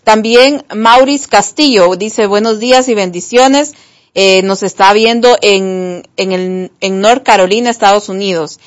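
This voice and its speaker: Woman hosting a live show. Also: a little high. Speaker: host reading viewer messages. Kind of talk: live broadcast presenting, reading out audience greetings and questions.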